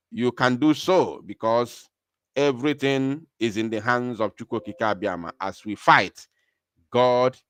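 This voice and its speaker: A man with a Nigerian accent hosts a live political broadcast in a clear, resonant voice of medium pitch. He delivers his commentary emphatically, repeating phrases to drive them home. The sound is dry and close, with very little room in it. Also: dry recording.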